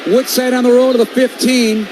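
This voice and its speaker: A man with an American accent announces football play by play for radio. His voice sits well above the usual male pitch, and there is clear background noise of the venue around him. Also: little pitch movement, normal speed. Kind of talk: live radio football commentary.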